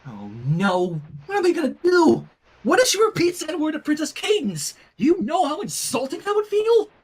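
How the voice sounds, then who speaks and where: A narrator playing a flustered, anxious teenage girl babysitter who gives hurried, apologetic, stumbling explanations. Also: high-pitched, huge pitch swings, voice pushed up.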